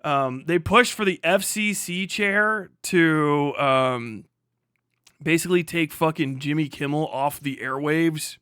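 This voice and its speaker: A man with a high, clear voice delivers loose livestream commentary. He talks like a showman one moment and rambles irreverently with plenty of swearing the next. The sound is dry and close, with a crisp, forceful edge. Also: slow, no echo. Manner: profane, slangy, irreverent. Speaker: live stream host.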